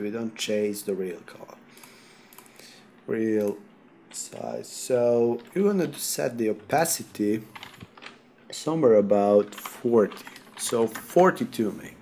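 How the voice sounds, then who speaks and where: A man from Serbia with a Serbian accent, sick, talking through a software tutorial in halting non-native English while he clicks. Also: fairly low pitch, expressive, slight background noise. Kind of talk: screen-recorded software tutorial.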